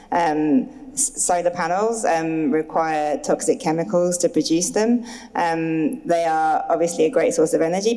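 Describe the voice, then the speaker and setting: A woman panelist setting out a counterargument in a thoughtful, measured way. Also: deep voice, gravelly, warm tone. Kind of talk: panel discussion. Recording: long hall reverb.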